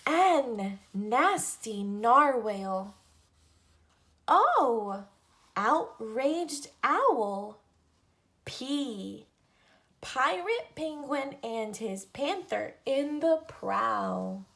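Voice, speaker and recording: Female children's storyteller, higher voice, animated intonation, deliberate phrasing with pauses for an alphabet picture book. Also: creaky. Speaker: read-aloud storyteller for children. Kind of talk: picture book read-aloud, teaching the alphabet.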